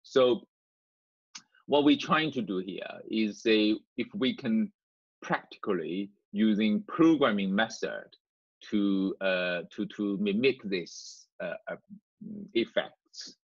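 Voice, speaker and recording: A man giving an unscripted online tutorial as a university lecturer, explaining in a halting, hesitant way over a video call. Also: lowish voice, animated, husky. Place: indoors, online class via screen share. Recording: dull, roomy video-call audio.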